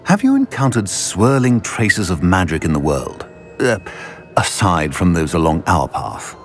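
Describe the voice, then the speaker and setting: An actor playing an older, self-assured wizard professor in a video game, speaking formally and with authority. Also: male voice, low-pitched, sing-song, unhurried, faint music behind. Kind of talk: video game cutscene acting.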